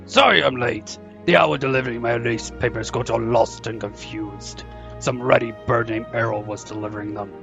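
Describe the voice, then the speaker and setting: A man playing a big, gentle, bashful fellow in an audio play, halting and heartfelt, on a dull recording with music behind. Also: mid-range voice, gravelly. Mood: sheepish. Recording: dull, lo-fi voice track.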